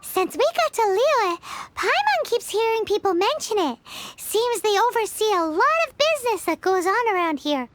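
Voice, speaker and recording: Tiny bouncy game fairy, very high and lively, blunt and teasing. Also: female voice, normal speed, no room sound. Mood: doubtful. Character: tiny floating female fairy companion, cheeky, blunt. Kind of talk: video game voice acting.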